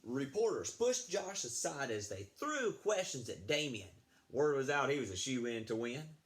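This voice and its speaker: A man's voice: medium pitch, big pitch swings, measured pace, a little hiss or hum. A man reading a picture book aloud to children, expressively, with casual asides. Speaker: read-aloud storyteller, apparently addressing schoolchildren.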